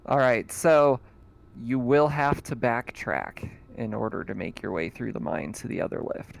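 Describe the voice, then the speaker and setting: Man, game master, mid pitch, relaxed chatty narration, fillers. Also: moderate pace, vocal fry.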